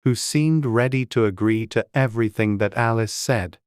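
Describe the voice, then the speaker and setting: A woman narrates a storybook in an exceptionally low voice. It is scripted audiobook reading, recorded close and dry with no room sound. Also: moderate pace, a little mellow, clean tone, no room sound.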